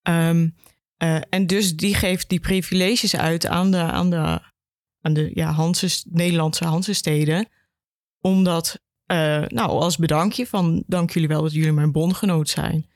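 A woman's voice: fairly low pitch, fairly even intonation, measured pace, darkish tone, dry recording. Woman the chatting through history, level intonation, informal, dry close recording. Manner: informal, retells history with imagined quotes. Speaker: education worker, interview guest. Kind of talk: conversational historical explanation.

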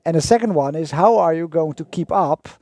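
A man co-hosting a podcast, talking in a halting way with many fillers and restarts. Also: fairly high pitch, darkish tone, no room sound. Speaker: podcast co-host. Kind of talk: conversational podcast chat.

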